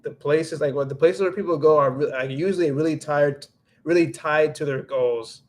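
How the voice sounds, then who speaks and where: A man's voice: on the high side, normal speed, darkish tone. Rambling young man on a livestream. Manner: rambling with frequent restarts and "like". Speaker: livestream host reading chat. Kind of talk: casual livestream chat, mixing English and Spanish.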